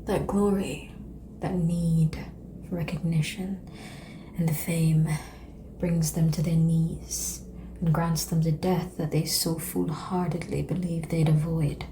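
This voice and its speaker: Over audible background ambience, a woman plays an ancient female vampire, seductive and composed. Her voice is lowish, soft and breathy, often sinking to a whisper. She speaks very slowly and formally, in an ASMR roleplay manner. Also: little pitch movement, poor audio. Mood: grave, disdainful. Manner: slow, measured, formal phrasing with long pauses. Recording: clear background noise and ambience.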